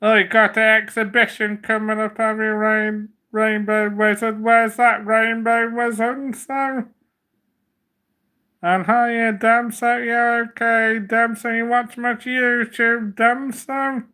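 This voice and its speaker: Guy, slow monotone livestream chatter, slight lingering cough. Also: extremely high pitch, clear, resonant tone. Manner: rambling, repeats "so" often. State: slight cough, getting over a cold. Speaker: livestream host. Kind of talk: casual livestream chat.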